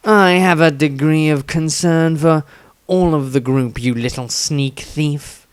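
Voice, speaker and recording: A man's voice: on the high side, takes it slowly, clean tone, no echo, voice pushed up. A man voicing a sardonic, grudging male adventurer with a sneering manner in a fantasy story reading.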